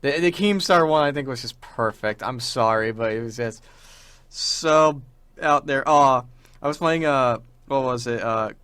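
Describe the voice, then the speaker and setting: A young man co-hosting a radio talk show with casual, joking banter in a playful, halting way. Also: mid-range voice, a bit bright, smooth.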